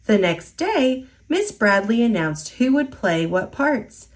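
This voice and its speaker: A woman reading a children's picture book aloud, slowly and expressively, in a sing-song storytelling manner. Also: on the high side, sing-song. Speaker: storytime reader.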